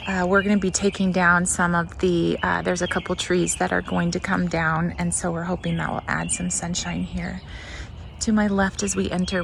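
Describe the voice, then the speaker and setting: Chatty woman with a creaky voice, outdoors. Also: lowish voice. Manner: chatty, thinking aloud. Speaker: garden vlog host. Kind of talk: casual walking garden tour commentary. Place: outdoor backyard vegetable garden. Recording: noisy outdoor ambience with birdsong. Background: birds and outdoor ambience.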